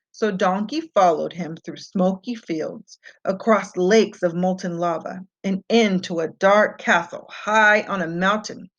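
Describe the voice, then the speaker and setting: A woman reads a scripted fairy tale for children. Her voice sits at a middle pitch and she stresses words expressively as a storyteller. The home recording is a bit dull and lo-fi. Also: a bit bright. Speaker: storybook reader. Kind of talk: scripted children's read-aloud narration.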